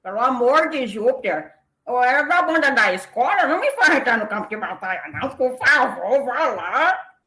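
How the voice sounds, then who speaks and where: A comic, cowardly soldier put on by a woman for an audio drama. The voice whines and pleads, jumping high in panic, in a roomy echo. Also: very animated, moderate pace, bright, forceful tone. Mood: pleading.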